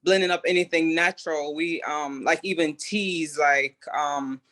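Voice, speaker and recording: A woman from Jamaica, a home cook and food creator, chatting as an interview guest in an upbeat, animated way. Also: sits low, measured pace. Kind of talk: casual interview chat.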